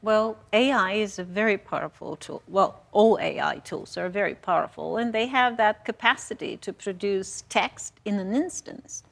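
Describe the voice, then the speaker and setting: A woman speaking as a faculty panelist in a measured, cautionary way. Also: mid-range voice, creaky. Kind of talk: panel discussion.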